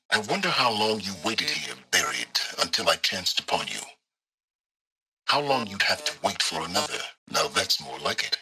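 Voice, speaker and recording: An actor playing an aloof, secretive middle-aged scholar of ancient ruins in a video game, speaking in formal, measured and condescending phrases. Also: male voice, lowish voice, bright, forceful tone, slight background noise, full character voice, poor audio. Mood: probing, calculating. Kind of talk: dramatic video game voice acting.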